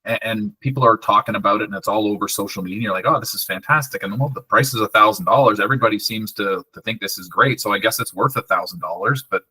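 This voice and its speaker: A man hosts a podcast interview, easygoing and chatty, leaning on fillers as he thinks. His voice is low yet bright with a husky edge, and it is recorded dry and close. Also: fast talker, hardly any pauses, no echo, poor audio. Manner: laid-back, conversational, uses fillers. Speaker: podcast host. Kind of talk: casual interview.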